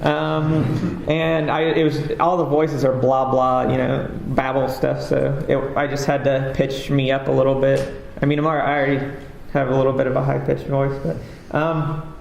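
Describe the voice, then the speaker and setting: A man's voice: medium pitch, flat delivery, normal speed, creaky, few pauses, darkish tone, a little hiss or hum. A man giving a casual, rambling conference talk as a game developer, with lots of fillers and a self-deprecating manner. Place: conference stage. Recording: echoey hall with faint ambience.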